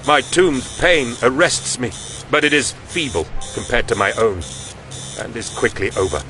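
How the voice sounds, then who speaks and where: An actor playing an ancient, devout warrior dying inside a war machine, narrating a science-fiction audio drama in a solemn, grave manner. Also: male voice, mid-range voice, measured pace, close to an everyday voice. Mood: grim, battle-strained. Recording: loud music behind the voice. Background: dramatic music.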